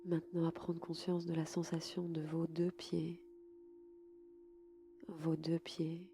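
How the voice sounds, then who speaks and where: A woman guiding a relaxation session slowly and calmly, in a soft, breathy voice that often nears a whisper. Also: low-pitched, noisy background. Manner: calm, soothing, unhurried. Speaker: podcast host and yoga nidra guide. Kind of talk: slow, soft guided relaxation.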